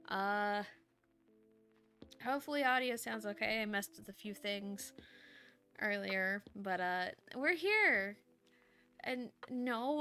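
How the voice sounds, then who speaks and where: A woman with a very bright voice speaks at an unhurried pace on a casual livestream. She is informal and a bit giggly, moving between chat and story reading. Faint music plays behind her. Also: mid-range voice, smooth. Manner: giggly, self-deprecating, informal. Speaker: streamer reading fan fiction aloud on a livestream.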